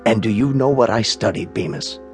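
A man's voice: fairly low pitch, animated, faint music behind, close to an everyday voice. Actor as a pompous, swooping male bank boss. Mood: self-important. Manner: pompous, precise, emphatic, drawn-out words. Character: pompous, stern, browbeating male bank president. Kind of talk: radio drama acting.

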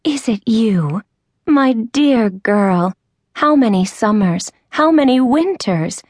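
A woman's voice: on the high side, animated, measured pace, no echo, clean studio sound, voice pushed up. Storybook role: woman voicing an enthusiastic, glamorous tall woman. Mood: excited. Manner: exclamatory, enthusiastic.

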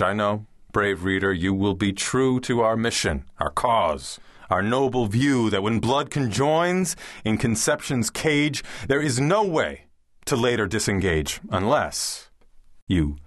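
A man's voice: medium pitch, fairly bright tone, dry recording, studio quality. A man playing a combative, embittered divorced publisher, declaiming verse to rally readers in an audiobook. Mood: defiant. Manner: declamatory, exhortative. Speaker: audiobook narrator. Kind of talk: dramatic verse recitation.

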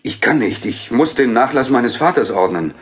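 A man's voice: medium pitch, lively intonation, moderate pace, natural voice. An actor playing a nervous, haunted man in a horror audio drama, with a faltering, agitated delivery. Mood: nervous, evasive.

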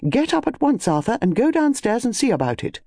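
An excitable upper-class English wife , as acted by a man, firm and bossy. The voice sits high and moves animatedly, in a close, dry storybook reading. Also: fairly quick, dry recording, exaggerated voice. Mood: firm, commanding.

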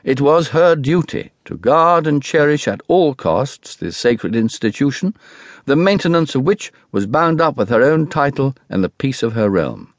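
Man, English accent, formal history narration, oratorical delivery, lively pitch movement, close dry recording. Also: normal speed, no echo. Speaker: audiobook narrator reading history. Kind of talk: scripted narration, formal literary history reading.

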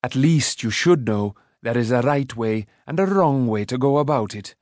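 A man as a gruff, kindly old smith. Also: mid-range voice, animated, normal speed, darkish tone, no echo, studio quality, natural voice. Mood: commanding. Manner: instructive, wry, commanding. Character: stout, bald old smith, gruff but kindly mentor. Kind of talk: storybook character voice.

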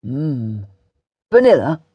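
A woman's voice: very deep voice, very animated, slow pace, breathy edge, dry recording, lo-fi sound, voice pushed down, heavily acted. A woman voicing a sarcastic, slangy, indignant British teenage boy in an audiobook, offhand and sardonic.

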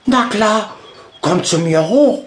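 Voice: mid-range voice, lively intonation, slow pace, reverberant. A performer voicing a friendly, sleepy, helpful little beaver in a children's storybook. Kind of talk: children's storybook character acting.

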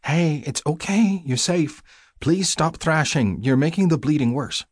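A man acts out a nurse in an audiobook, a woman who is caring and bossy at once. The voice sits well above his own, lively and soft-edged with husk, soothing but ready to scold. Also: medium pitch, moderate pace, no room sound, studio quality, voice pushed up. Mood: gentle, soothing. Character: female nurse, caring, firm, gently bossy.

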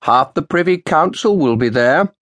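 A man voicing a stern, sardonic old man past sixty with a sharp voice and a clipped manner. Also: on the high side, expressive, moderate pace, no echo, lo-fi sound, voice pushed up. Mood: grave.

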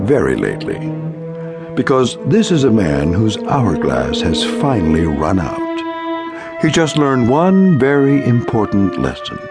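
An actor playing a solemn, knowing narrator of eerie tales, with measured, portentous dramatic delivery. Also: male voice, lowish voice, wildly swooping pitch, full character voice. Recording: loud music behind narration.

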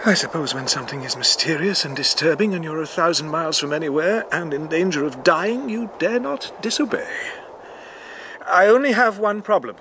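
A man's voice: a little high, normal speed, sharp tone, a little hiss or hum. A man playing a stranded pilot in a radio drama, exasperated but kindly as he explains himself. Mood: uneasy.

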